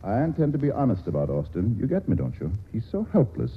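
A man's voice: mid-range voice, huge pitch swings, fast talker, husky, full character voice. An actor playing a worldly, cynical male writer with a dry, mocking, patronizing manner, in 1940s radio acting style. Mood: wry. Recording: muffled old radio broadcast, faint hiss.

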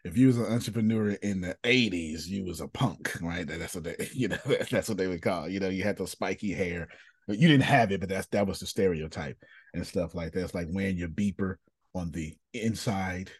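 A man, a podcast host who sounds casual and drops into a soft, low voice when he talks about himself. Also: a little fast, dry recording, clean studio sound. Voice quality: raspy. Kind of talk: casual group chat and personal talk.

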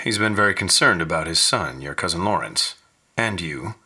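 A man acting in a radio drama as a guarded government agent, measured and insinuating as he probes. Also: sits low, expressive, pushed and bright, slightly rough, heavily acted. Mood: probing.

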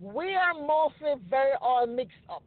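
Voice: high-pitched, lively intonation, unhurried. A podcast host from the Caribbean who talks earnestly and reflectively in a casual discussion, often checking in with "you know" and "okay?". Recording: tinny phone-line sound, dry.

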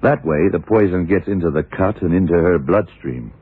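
An actor playing a shrewd, worldly, commanding male detective who explains deliberately, in the style of vintage radio drama. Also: deep voice, husky. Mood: patient, knowing. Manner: deliberate, hard-boiled explaining. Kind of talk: 1960s-70s radio drama acting. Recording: muffled, narrow-band vintage radio recording.

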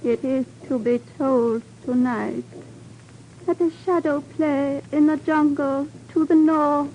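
Over faint old-radio hiss comes a mysterious high priestess. Her high voice moves very slowly, giving each formal line the weight of solemn prophecy. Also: fairly even intonation, lo-fi sound. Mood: solemn, prophetic. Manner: slow, incantatory, formal pronouncements. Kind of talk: 1950s radio drama acting.

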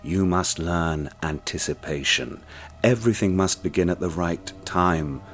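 An actor playing a cold, sadistic man who speaks to a bound captive in a soft, measured, menacing way in a radio play. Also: deep voice, soft background music. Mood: silkily menacing.

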